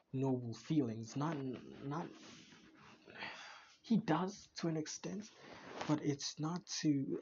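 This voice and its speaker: A man's voice: medium pitch, darkish tone, lo-fi sound, slight background noise. A man reacting to game voice lines, thinking aloud and often repeating words as he searches for them. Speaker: video reactor. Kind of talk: casual commentary.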